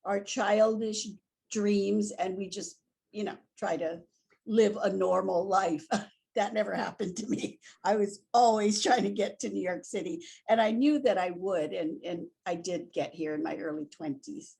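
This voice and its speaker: Gravelly, husky older woman. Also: medium pitch, a little slow. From Hawaii. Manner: animated, storytelling, laughs easily. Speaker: guest. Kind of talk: casual interview.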